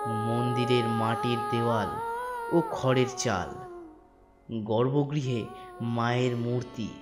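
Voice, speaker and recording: A man narrating a scripted ghost story in a dramatic storytelling manner with drawn-out pauses. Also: medium pitch. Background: soft instrumental music.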